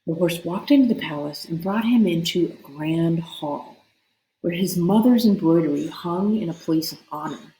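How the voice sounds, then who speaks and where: A woman with a fairly low voice narrates a storybook aloud. She reads expressively, leaning on dramatic words, in an echoey room. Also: moderate pace, mellow.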